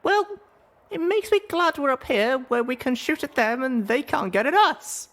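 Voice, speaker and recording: A woman voicing a young, curious and anxious female pony adventurer in an audiobook, with expressive, incredulous delivery. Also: sits high, moderate pace. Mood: nervous. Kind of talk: audiobook character dialogue.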